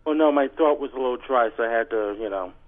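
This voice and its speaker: A man calling a radio show over a phone line, reading aloud and bantering in a halting, insistent, deadpan way. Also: medium pitch. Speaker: call-in guest on a radio show. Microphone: telephone. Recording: tinny, narrow phone line.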